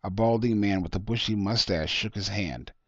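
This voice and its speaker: A man's voice: fairly low pitch, moderate pace, a bit bright, dry recording. A man reading his own short story aloud as scripted narration. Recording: dull lo-fi close recording.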